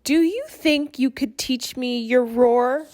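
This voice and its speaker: A woman's voice: high-pitched, slow, darkish tone, no echo, clean studio sound, pitched above the performer's own voice. A woman voicing a tiny, meek but plucky storybook mouse with a peeping, polite manner. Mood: nervous, polite.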